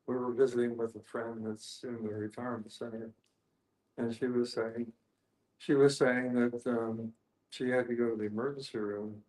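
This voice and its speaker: A man telling an anecdote in a group discussion, halting and circling back to rephrase. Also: medium pitch, darkish tone. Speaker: discussion participant. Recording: echoey room.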